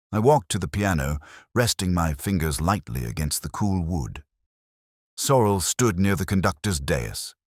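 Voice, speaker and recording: Male narrator for detective fiction: extremely low register, expressive intonation, solemn and measured, dry close sound. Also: dry recording. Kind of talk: scripted first-person detective story narration.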